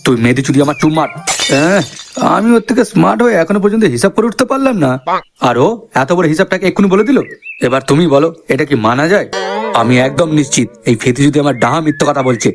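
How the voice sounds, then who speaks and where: Cartoon henchman, male actor: gravelly, a little high, boastful, quarrelsome, not very bright. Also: animated, quick pace, music in the background. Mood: indignant. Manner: blustering, quarrelsome. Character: dim, jealous, boastful, foul-mouthed henchman. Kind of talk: comic cartoon dialogue.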